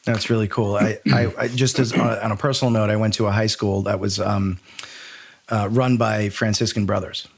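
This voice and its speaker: A middle-aged American man with a fairly low voice hosts a casual interview. He talks in a discursive, informal way, working out his thoughts aloud as he goes. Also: steady tone, moderate pace. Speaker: podcast host.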